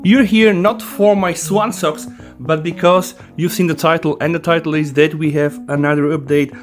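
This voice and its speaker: Quick, chatty male tech host with a Bulgarian accent, voice a bit high, faint music under him. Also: fairly even intonation. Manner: chatty, keeps saying "guys" and "right?"; talks fast. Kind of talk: casual tech review.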